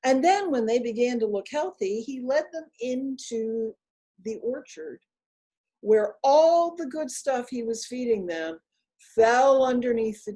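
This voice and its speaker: A woman telling a folktale aloud in an expressive, deliberate manner with emphatic pauses. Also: fairly high pitch. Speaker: storyteller. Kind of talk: live oral folktale storytelling, dramatic.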